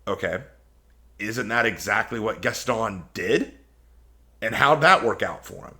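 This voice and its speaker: A man's voice: lowish voice, expressive, measured pace, bright, forceful tone. A man giving casual, opinionated video commentary, arguing emphatically with sarcasm and incredulous rhetorical questions. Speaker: video commentator hosting a response video.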